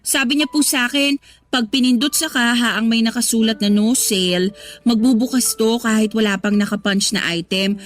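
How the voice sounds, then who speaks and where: A woman's voice: a little high, normal speed, natural voice. A woman playing a young cashier in a Tagalog radio drama, deferential and hesitant in manner. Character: young female cashier, eager, polite and nervous. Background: music.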